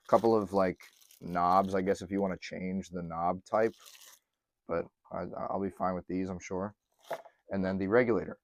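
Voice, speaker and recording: A man, slightly hoarse, chatting casually through a van stove install, with lots of ums and throat clearing. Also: deep voice, a little mellow, dry recording. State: slightly hoarse, losing his voice. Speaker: van life vlogger. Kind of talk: casual how-to narration to camera.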